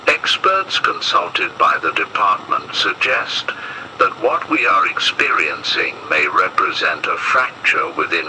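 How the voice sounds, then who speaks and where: A man playing a grave emergency broadcast announcer in an analog horror piece, speaking in a solemn, ominous, deliberate way. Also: sits low, noisy background, heavily acted.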